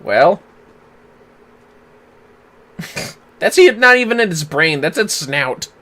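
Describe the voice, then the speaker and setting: A man's voice: on the high side, sing-song, takes it slowly, bright, forceful tone. A man hosting a casual game livestream, commenting in an amused, sweary, wry way. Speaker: livestream host. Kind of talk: casual game commentary, reading dialogue aloud.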